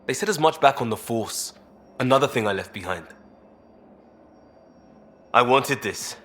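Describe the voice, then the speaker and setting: A man's voice: medium pitch, expressive, a little slow, pushed and bright. An actor playing an idealistic, restless young British crewman in a video game, speaking in clipped informal phrases. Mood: wistful, edgy. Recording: game dialogue over faint music.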